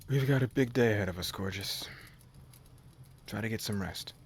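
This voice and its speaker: A man playing a tough but tender gang leader in a soft-spoken, intimate ASMR roleplay. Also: normal speed, a little hiss or hum, natural voice. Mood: affectionate. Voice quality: low, gravelly. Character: tsundere male gang leader, tough yet tender lover.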